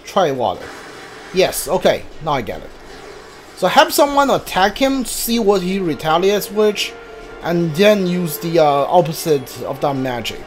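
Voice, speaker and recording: Musical-backed male gamer thinking aloud, lively pitch. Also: measured pace, soft background music. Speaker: game streamer. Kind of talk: live gameplay commentary.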